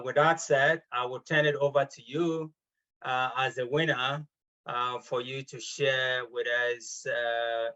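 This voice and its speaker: A man's voice: medium pitch, pushed and bright, lo-fi sound. Hesitant man chairing an online session. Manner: hesitant, many ums and uhs, polite. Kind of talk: formal welcome and introduction.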